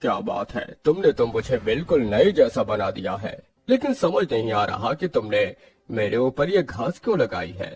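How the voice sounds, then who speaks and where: A man's voice: mid-range voice, sing-song, moderate pace, crowd noise. A man voicing a cheerful magical talking air conditioner in a cartoon story, friendly, theatrical and boastful. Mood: friendly, grateful. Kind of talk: Hindi cartoon story acting.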